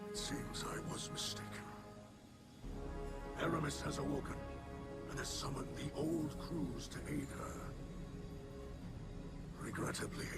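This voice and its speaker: Slow, solemn alien leader played by a man. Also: medium pitch, close to an everyday voice. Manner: grave, formal, halting phrasing. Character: solemn, noble alien elder, a grave fatherly leader. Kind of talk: video game character acting. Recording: loud game music behind.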